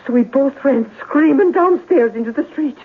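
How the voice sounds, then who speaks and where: An actress playing a flustered, garrulous middle-aged working-class landlady in an old-time radio drama, rambling with exclamations and rough grammar. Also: sits high, expressive, normal speed. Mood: dramatic, mournful. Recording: muffled vintage radio broadcast.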